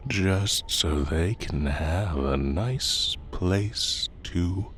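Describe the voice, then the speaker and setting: Man acting a deep, very slow, haughty fae king. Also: animated, fairly bright tone, a little hiss or hum, heavily acted. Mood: mock-disappointed, teasing. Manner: condescending, unhurried, theatrical drawl. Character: haughty fae forest king, teasing, possessive, menacing. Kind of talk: audio roleplay acting addressed to the listener.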